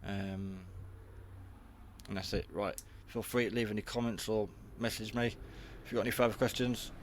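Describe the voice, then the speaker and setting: A man's voice: fairly low pitch, fairly even intonation, moderate pace, slight background noise. A man giving a casual, unscripted hobby radio review in a rambling, matter-of-fact, modest way.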